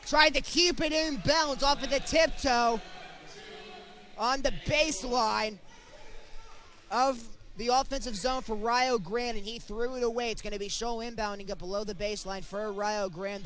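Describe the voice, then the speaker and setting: A young man doing excitable basketball play-by-play, narrating each pass in clipped phrases. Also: very high voice. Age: young adult. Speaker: sports commentator.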